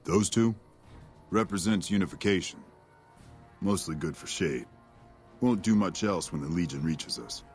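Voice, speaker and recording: An actor playing a weary, cynical soldier at a desert outpost in a video game, terse and dry. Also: male voice, low-pitched, measured pace, long pauses. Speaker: army sergeant character. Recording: music behind the voice.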